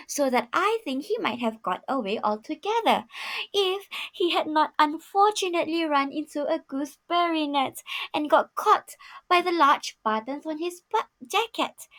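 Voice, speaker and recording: A woman narrating a children's storybook aloud in an expressive, dramatic way for young listeners. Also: sits high.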